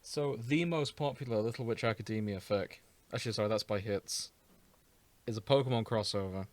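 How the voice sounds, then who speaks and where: Guy with a lowish voice, casual podcast host chatting, super dry sound no room. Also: fairly quick, dry recording. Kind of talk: casual chat about fan fiction.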